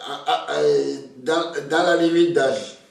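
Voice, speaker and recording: An older Togolese man whose first language is Ewe, speaking slowly and hesitantly, often repeating words as he starts a thought. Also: a little high, fairly even intonation, vocal fry, recorded from a distance. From Togo. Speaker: interviewee, elder. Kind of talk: slow reflective storytelling. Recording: very echoey room, voice off-mic.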